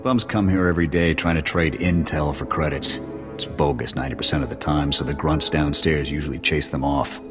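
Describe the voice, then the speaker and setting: A stern male officer with a rumbling, extremely deep voice over music. He is gruff, dismissive and commanding in this sci-fi game scene. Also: brisk. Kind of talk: video game cutscene acting.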